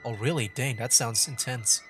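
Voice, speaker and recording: A man's voice: mid-range voice, moderate pace, fairly bright tone. A man chatting casually as a game livestreamer, rambling and repeating his phrases. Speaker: livestreamer, VTuber. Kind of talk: casual gaming livestream chat. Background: game music.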